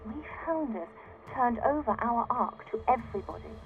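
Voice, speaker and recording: An actress playing an indignant, self-righteous founder of a floating city in a video game audio log, exasperated, defensive and emphatic, through a radio-like filter. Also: female voice, on the high side, animated, normal speed, narrow, tinny audio, faint music behind. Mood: bitter.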